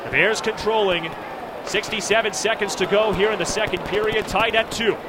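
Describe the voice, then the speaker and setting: A man's voice: high voice, moderate pace. An American man calling live radio play-by-play from a sports arena in a clipped, running present-tense style. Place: sports arena broadcast position. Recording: loud crowd and arena noise behind. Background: roaring crowd, arena music.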